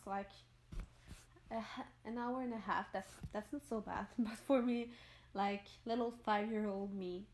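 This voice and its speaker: Chatty French Canadian woman, somewhat high voice. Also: a little mellow. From Montreal, Quebec. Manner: rambling, searches for words, laughs often. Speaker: gaming YouTuber. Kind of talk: voice-over for a game build video.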